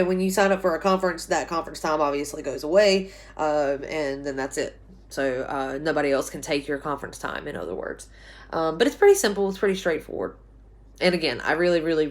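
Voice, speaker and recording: Slightly hoarse woman instructor. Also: deep voice. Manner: chatty and rambling, many ums and restarts. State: slightly hoarse from a sore throat. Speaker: college writing instructor. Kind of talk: casual recorded class announcement. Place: small bedroom at home, indoors. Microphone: phone camera at arm's length.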